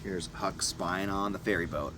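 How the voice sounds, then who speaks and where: A man narrating a first-person adventure story as an audiobook reader, in short deliberate phrases. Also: low-pitched, steady tone, noisy background.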